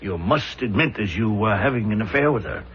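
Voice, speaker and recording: An actor playing a pragmatic, skeptical defense lawyer in a radio drama, measured and persuasive with deliberate pauses. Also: male voice, on the low side, expressive, a bit bright. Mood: pressing, persuasive. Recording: muffled, noisy old radio recording.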